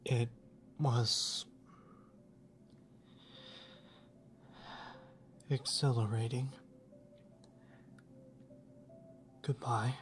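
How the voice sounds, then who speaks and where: An actor playing a reserved, lovesick young classmate in an ASMR roleplay, speaking in a soft, hesitant, formal way, often near a whisper. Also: mid-range voice, fairly even intonation, slow pace, a little hiss or hum, natural voice. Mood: drowsy, sad.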